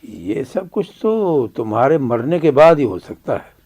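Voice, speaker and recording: A man's voice: mid-range voice, big pitch swings, measured pace, breathy edge, dull audio. A man playing a proud old Kashmiri father in a radio drama, grave and indignant in manner. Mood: stern.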